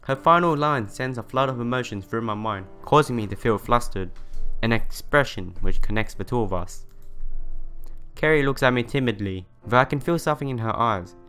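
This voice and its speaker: A man's voice: medium pitch, animated, moderate pace, fairly bright tone, smooth. A man reading a visual novel aloud and adding casual commentary, as a let's player does. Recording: music playing behind the voice. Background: game music.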